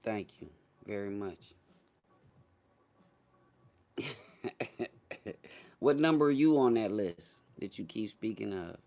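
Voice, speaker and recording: A man's voice: on the low side, expressive, slow, pauses often, darkish tone. A man hosting a casual live stream, chatting with viewers in a slangy, boastful, teasing way.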